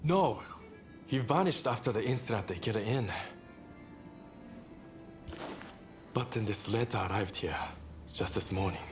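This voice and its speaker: A man's voice: on the low side, animated, brisk, over music, close to an everyday voice. A man playing a courteous, roguish samurai rebel leader with wry, theatrical phrasing in a video game cutscene. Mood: earnest, measured.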